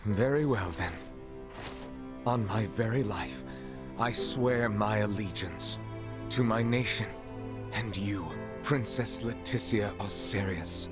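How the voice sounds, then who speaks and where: Solemn, courteous noble knight. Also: male voice, on the low side. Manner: formal, measured, courtly phrasing. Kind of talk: dramatic video game voice acting. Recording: game music behind. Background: background music.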